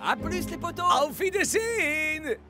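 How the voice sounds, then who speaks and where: A man's voice: extremely high pitch, animated, a little slow. A man playing an upbeat young footballer in a French cartoon dub, casual and slangy. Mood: easygoing. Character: upbeat, laid-back young star footballer. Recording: music behind the voice.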